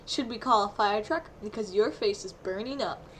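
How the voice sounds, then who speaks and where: A woman voicing a mischievous, excitable, doting boyfriend in a theatrical comedic fan fiction reading. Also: medium pitch, moderate pace, close to an everyday voice. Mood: teasing. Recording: clearly noisy home recording.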